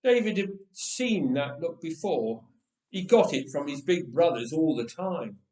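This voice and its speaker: A man reading a story aloud with expressive, playful and dramatic narration, phrasing it deliberately. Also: on the high side, far from the mic. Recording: roomy, echoing room sound.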